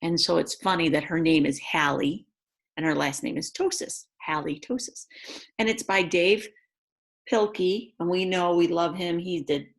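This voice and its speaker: Woman reading a picture book aloud, lowish voice. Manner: expressive, exclaims and gasps over the pictures. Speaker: read-aloud storyteller for children. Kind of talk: picture book read-aloud with asides to young listeners.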